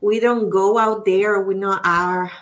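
A woman's voice: mid-range voice, steady tone, a little slow, a bit bright. A middle-aged woman from Puerto Rico with a Spanish accent, answering as an interview guest in an earnest, reflective way and often searching for her words. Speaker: podcast guest, real estate professional. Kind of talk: casual interview conversation.

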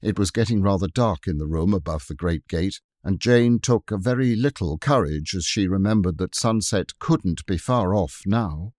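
A man reading a children's novel aloud in expressive, measured storytelling. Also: low voice, no echo, clean studio sound. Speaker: audiobook reader. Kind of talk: scripted narration.

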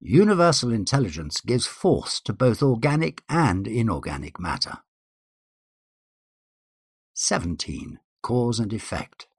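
Male reader, husky and very expressive in pitch, formal scripted delivery, close dry sound. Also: a little slow, no echo. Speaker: guest reader. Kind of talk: formal scripted reading of numbered principles.

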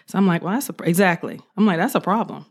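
A woman police officer telling an animated story in an interview, acting out what she said at the time. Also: lowish voice, rapid-fire, slightly rough. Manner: animated, acts out her own quotes.

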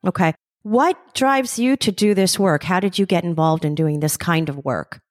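A woman's voice: on the low side, normal speed, dry recording, studio quality. A woman hosting an interview podcast, asking enthusiastic, complimentary questions. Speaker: podcast host.

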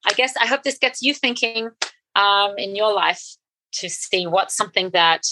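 A woman's voice: mid-range voice, normal speed, noisy background. A middle-aged woman co-hosting a podcast, talking earnestly and with enthusiasm in casual conversation. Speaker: podcast co-host.

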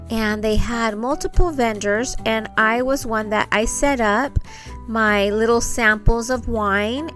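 A woman host casually telling personal stories in a chatty, meandering, excitable way. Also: fairly high pitch.

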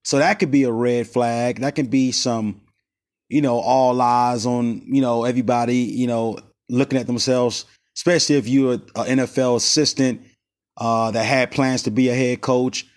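A man hosting his own sports podcast in a loose, rambling way, voice raspy while he recovers from a stomach virus, recorded on a cell phone outside his studio. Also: mid-range voice, steady tone, moderate pace. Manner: loose, rambling, many fillers and restarts. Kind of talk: casual sports talk. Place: not in the studio.

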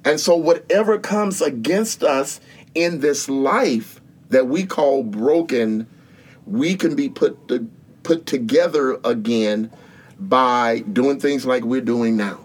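Guy in his 60s, slow earnest preachy talk, takes his time. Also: medium pitch. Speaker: guest. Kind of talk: spiritual interview talk.

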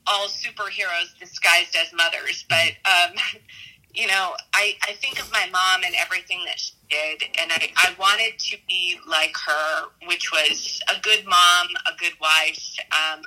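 A middle-aged American woman giving reflective interview answers as an educator, haltingly, often repeating and correcting herself. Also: on the low side, fairly even intonation, sharp tone, low-quality recording. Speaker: math teacher and school dean.

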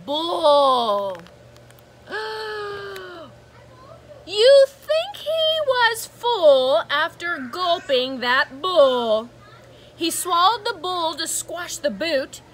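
A woman reading a rhythmic picture book aloud to children like a teacher, animated and sing-song, with asides to her listeners. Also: extremely high pitch, slight background noise. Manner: animated, sing-song, drawn-out exclamations and gasps; engaging listeners with asides and prompts. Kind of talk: rhythmic storybook read-aloud.

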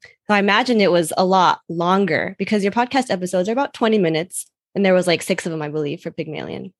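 A woman's voice: lowish voice, fast, no room sound, studio quality. A woman co-hosting an interview, chatting casually and putting questions in a slightly tentative, conversational way. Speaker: podcast co-host, interviewer. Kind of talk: casual chat, asking questions.

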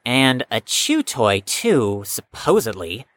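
A woman voices a bookish, careful youngster in an audio drama. The voice is low yet expressive, with precise formal wording and dry wit, captured with no room sound. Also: takes it slowly, dry recording, full character voice. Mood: wry, reluctant. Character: cautious, bookish, formal-spoken young student Grayson.

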